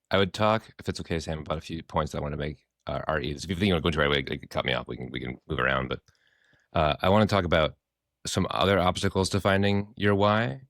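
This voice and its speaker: A man with a very deep, hoarse voice rattles through his thoughts at a quick clip. He's a Midwestern therapist on a podcast, expressive and rambling, dropping swear words freely. The sound is dry and close to the mic. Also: dry recording. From American Midwest. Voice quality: hoarse from screaming. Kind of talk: casual podcast chat.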